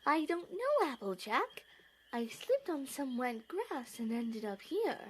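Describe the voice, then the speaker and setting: A fretful, dainty cartoon unicorn mare, voiced by a woman. The breathy voice is on the high side and moves about a great deal in pitch. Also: sing-song, slow, full character voice. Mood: fretful, doubtful.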